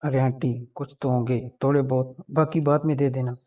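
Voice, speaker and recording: A man's voice: mid-range voice, steady tone, moderate pace, dark tone, lightly acted. A man playing an insistent young man in a staged, conversational phone call, pleading and pressing his point. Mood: exasperated, pressing.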